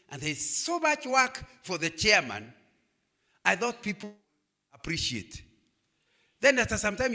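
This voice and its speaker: Older Kenyan man, lively preacher to students, bright and high-pitched. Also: gravelly. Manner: animated preaching, asks "Am I communicating?". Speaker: guest speaker.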